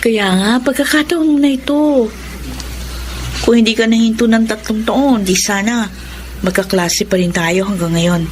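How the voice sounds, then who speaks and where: Chatty highish-voiced Filipina friend, acted by a woman. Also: moderate pace, pauses often, a little hiss or hum, lightly acted. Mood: friendly. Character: chatty young female friend, teasing, gossipy. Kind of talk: Filipino radio drama acting.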